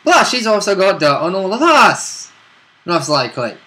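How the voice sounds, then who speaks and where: A man chatting casually as a player about a tabletop game, chiming in with animated agreement. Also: high-pitched, moderate pace. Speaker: player in the role-playing game.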